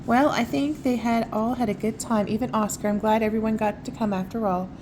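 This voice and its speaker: A woman reading a children's story aloud in a casual, expressive way, with conversational asides. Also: fairly high pitch, fairly quick, background noise. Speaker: storytime reader.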